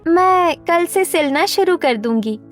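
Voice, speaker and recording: Casting: actress for sincere young cartoon seamstress, determined, high voice over soft music. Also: female voice, animated, measured pace. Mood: eager. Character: earnest, determined young seamstress daughter from poor family. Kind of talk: Hindi cartoon story voice acting.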